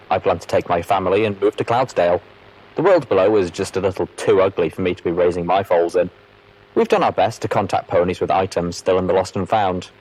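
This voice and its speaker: A man's voice: deep voice, darkish tone, low-quality recording. A man playing a resigned, chatty pony clerk reading out a log entry, dry and mildly grumbling.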